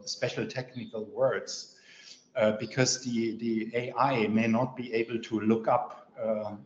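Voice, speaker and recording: An older man talking on a video call from home, thinking aloud with many fillers and gesturing as he talks. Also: mid-range voice. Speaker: meeting participant. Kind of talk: casual discussion on a video call. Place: home room indoors. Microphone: webcam or laptop mic on a video call. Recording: roomy, dull video call audio.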